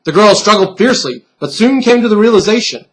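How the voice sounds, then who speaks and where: Higher-pitched male dramatic narrator. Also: lively intonation, quick pace, poor audio. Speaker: author reading their novel.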